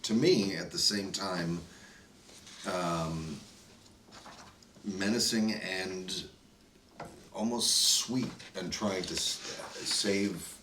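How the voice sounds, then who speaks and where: A man in a roundtable chat, asking a fellow actor probing questions in a drawn-out way. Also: sits low, pushed and bright. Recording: echoey room, distant mic, faint noise.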